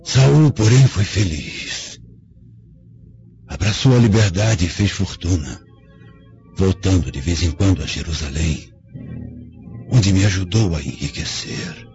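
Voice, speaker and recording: A man's voice: low voice, lively intonation, takes it slowly, long pauses, darkish tone, exaggerated voice. An actor with a Brazilian accent playing a proud, vengeful dying father confessing with remorse, in solemn, theatrical radio drama phrasing. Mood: grave, bitter. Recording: dull lo-fi radio drama, faint music behind.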